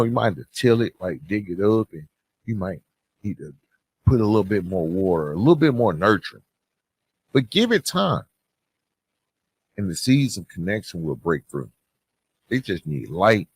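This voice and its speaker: A man hosting a podcast, giving motivational advice in an earnest, conversational, gently preaching way with emphatic pauses. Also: low-pitched, breathy edge, dry recording. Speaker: podcast host and author.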